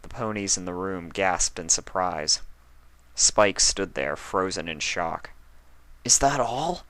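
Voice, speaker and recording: A man reading a fan story aloud with steady narration. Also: sits low, slow pace, clean tone, no echo. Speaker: fanfiction reader.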